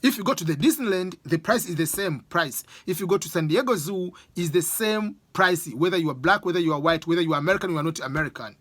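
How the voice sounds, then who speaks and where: Husky East African man giving opinions. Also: high-pitched, fast talker, few pauses, fairly bright tone, dry recording. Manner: emphatic, repeats phrases for stress. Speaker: speaker giving his opinion. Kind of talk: informal opinion monologue.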